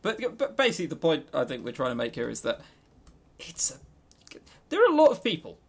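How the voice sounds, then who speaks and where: A man co-hosting a podcast in casual chat, talking informally and haltingly with repeated words. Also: fairly high pitch, wildly swooping pitch, vocal fry, breathy edge. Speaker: podcast co-host.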